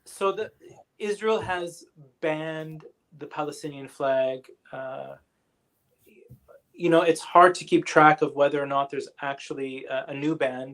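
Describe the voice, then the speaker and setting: A man answering audience questions in an online lecture, speaking thoughtfully with many fillers, pauses and restarts. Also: medium pitch, fairly bright tone. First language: English. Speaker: guest lecturer.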